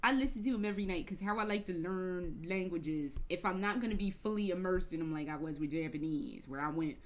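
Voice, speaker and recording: A woman's voice: lowish voice, a little fast. An American woman telling a rambling, casual story for her vlog, swearing freely and restarting her sentences often. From United States. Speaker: vlogger. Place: indoors, travelling in Germany.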